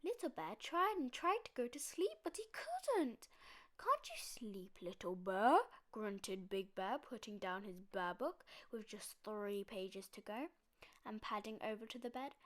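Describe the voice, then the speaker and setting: A woman's voice: fairly high pitch, very animated, a little slow. A woman storyteller reading a children's bedtime story aloud expressively.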